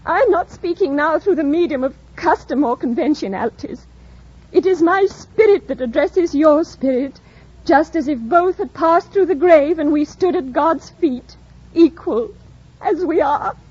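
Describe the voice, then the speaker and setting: A woman's voice: high voice, measured pace, a little mellow. A woman playing an earnest, proud young British governess in love, speaking with formal, impassioned diction in an old-time radio drama. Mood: impassioned, defiant. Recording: dull, lo-fi old radio recording.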